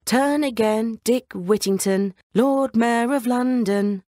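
Woman voicing chiming church bells, sing-song, pitched up. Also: slow, warm tone, no echo, clean studio sound. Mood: proclaiming. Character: talking church bells, grand chiming proclamation. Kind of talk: children's bedtime story character voice.

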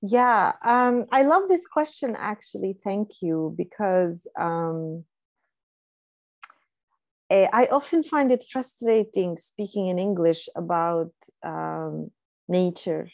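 A woman whose first language is Arabic, speaking as a panelist on a video call, reflective and pausing often with fillers. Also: on the low side, animated, creaky, dry recording. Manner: reflective, pauses with many fillers.

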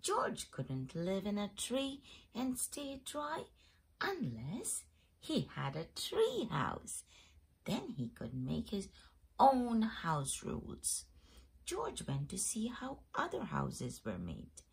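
A woman host reading a children's picture book aloud in an expressive storytelling manner. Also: medium pitch, takes it slowly, vocal fry, husky. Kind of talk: scripted storybook read-aloud.

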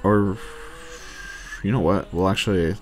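A man's voice: low voice, steady tone, measured pace, a little mellow. A man doing casual let's play commentary, muttering to himself and swearing freely over game play. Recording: game music behind the voice. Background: video game music and sounds.

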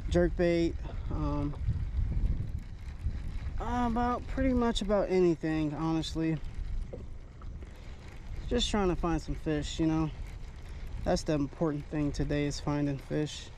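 A young man vlogging while kayak fishing on a windy lake, talking casually and rambling with fillers. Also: fairly high pitch. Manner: laid-back, rambling, many fillers. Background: background music, wind and water.

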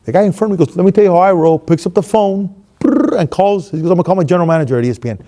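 Miami guy in his 30s telling a story on stage, far camera, goes quiet then loud. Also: high voice, racing. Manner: animated, swings from hushed to loud. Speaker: motivational speaker. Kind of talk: storytelling monologue. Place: stage with red curtain, seated.

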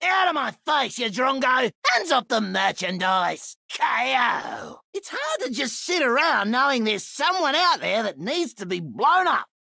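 A man voicing a manic, mischievous Australian bomber in exaggerated, gravelly, high-strung video game voice lines. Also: sing-song, a little slow, few pauses, bright, forceful tone, no echo, exaggerated voice. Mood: excited shouting. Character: manic, mischievous male Australian bomber who loves explosions.

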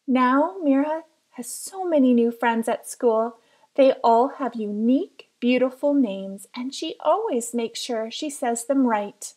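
A woman reading a children's picture book aloud as careful, expressive storytelling. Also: sits high, measured pace. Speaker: storybook reader.

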